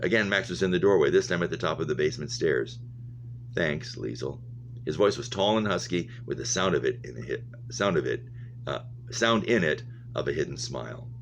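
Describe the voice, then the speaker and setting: A man's voice: a bass voice, lively intonation, fairly quick, gravelly. A man reading a novel aloud, stumbling over words now and then and going back over phrases. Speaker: reader, English teacher. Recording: muffled lo-fi audio with humming noise.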